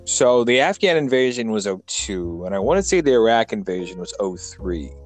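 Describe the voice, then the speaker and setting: A man's voice: on the low side, very animated, moderate pace. A man in his mid thirties with an American accent hosts an informal interview. He often stammers into his sentences and talks casually. Faint music plays behind him. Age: mid 30s. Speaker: podcast host, interviewer.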